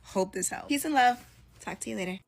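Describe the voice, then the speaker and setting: A woman's voice: fairly high pitch, brisk, vocal fry. A woman delivering sarcastic, mocking commentary in a reaction video. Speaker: online commentator.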